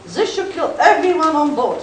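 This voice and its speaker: A woman's voice: high-pitched, big pitch swings, normal speed. A woman playing a stern, fanatical German plot leader, emphatic, in a live comic radio play. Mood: commanding. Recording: echoey room, distant mic, faint noise.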